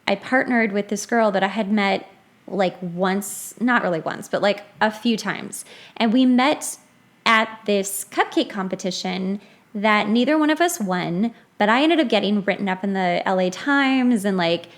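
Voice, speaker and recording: A woman chatting casually and telling stories in a blunt, animated way, slipping into acted-out quotes. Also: medium pitch, unhurried. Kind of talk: casual chat, anecdotes.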